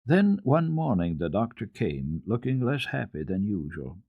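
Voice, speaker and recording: A man narrating children's literature as a radio storyteller, reading dramatically in an expressive, deliberate way. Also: on the low side, husky, no room sound, phone-quality sound.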